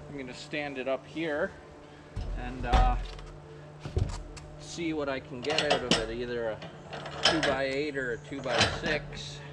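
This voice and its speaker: A man in his thirties talks in a relaxed, folksy way, filling his sentences with easy fillers. He stands well back from a camera on a tripod in a wooded clearing. Loud outdoor ambience and machinery noise sit around his voice. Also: medium pitch, far from the mic. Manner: relaxed, folksy, lots of "kinda thing" fillers. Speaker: sawmill vlogger. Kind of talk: casual how-to chat. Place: outdoors at a sawmill clearing in the woods. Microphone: far camera on a tripod.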